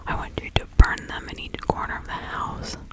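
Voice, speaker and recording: A woman playing a kindly, protective village witch and spiritual healer in a whispered ASMR roleplay, hushed, soothing and incantatory. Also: moderate pace, lightly acted. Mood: gentle, reassuring.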